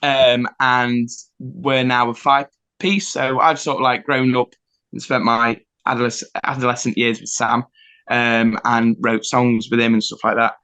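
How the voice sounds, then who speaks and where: A man's voice: mid-range voice, flat delivery, a little slow, bright, forceful tone, smooth, poor audio. A young man from Nottingham, chatting as a musician guest in a self-deprecating way with lots of fillers. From Nottingham, England. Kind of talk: casual interview chat.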